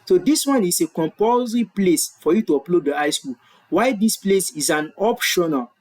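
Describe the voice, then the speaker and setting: A man's voice: high-pitched, animated, moderate pace, darkish tone. A Nigerian man whose first language is Yoruba, walking viewers through an online form in a screen-share tutorial, explaining patiently and correcting himself as he goes. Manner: explanatory, repeats and self-corrects. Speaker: tutorial presenter. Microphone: webcam in corner of screen recording.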